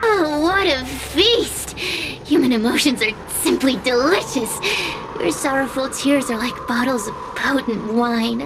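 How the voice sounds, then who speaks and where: A woman's voice: high voice, unhurried, noisy background. A woman playing a smug predatory demon spirit in a video game, languid, gloating and menacing. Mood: gloating, sinister. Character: smug predatory female demon spirit savouring human sorrow. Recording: loud music behind the voice.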